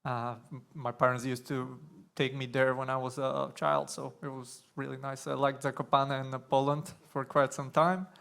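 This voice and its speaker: A young man from Slovakia giving a conference talk on stage, nervous and halting, with many fillers and restarts. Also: medium pitch, little pitch movement, creaky, a bit bright, clear, resonant tone. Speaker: conference speaker.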